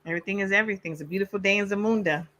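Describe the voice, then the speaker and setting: Woman, panelist, bright sharp tone, upbeat and playful. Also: medium pitch, very fast. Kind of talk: casual chat.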